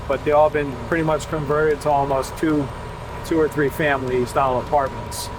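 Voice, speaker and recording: A man's voice: on the high side, flat delivery, normal speed. A man working as a firefighter, explaining his job in a casual, practical, plain-spoken way. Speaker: firefighter and truck driver. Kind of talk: casual explanatory interview talk. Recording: clear vehicle and street noise.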